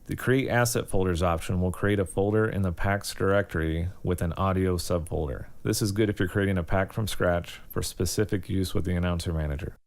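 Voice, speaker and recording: Low voiced guy doing a software how-to, step by step, kinda informal. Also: steady tone, brisk, vocal fry, hardly any pauses. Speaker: software tutorial presenter. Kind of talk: step-by-step tutorial narration.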